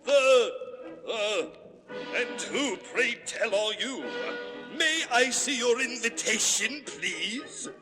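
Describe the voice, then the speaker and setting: An actor playing the playful narrator of a children's cartoon radio drama, with animated, drawn-out delivery. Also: male voice, very high-pitched, heavily acted, rough recording. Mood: formal. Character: playful storybook-record narrator, formal party announcer. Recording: music behind vinyl record audio.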